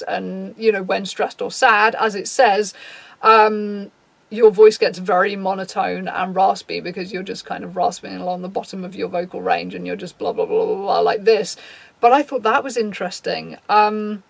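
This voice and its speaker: Woman, 30s, low raspy voice; blunt, sweary talk to camera, dry sound. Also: no room sound. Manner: frank, swears freely, reads out a list and reacts. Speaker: YouTuber. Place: small dim room, indoors.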